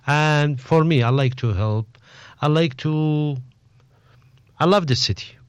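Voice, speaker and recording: A man interviewing casually as a radio presenter, with halting, phrasing. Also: on the high side, clean tone, dry recording. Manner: halting, non-native phrasing. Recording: muffled, dull, dry close recording.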